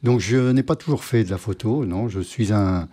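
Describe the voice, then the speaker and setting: An older man from Normandy talking casually as an interview guest, pausing often to search for his words. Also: on the low side, dark tone. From Normandy, France. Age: older, 60s or 70s. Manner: hesitant, halting, searching for words.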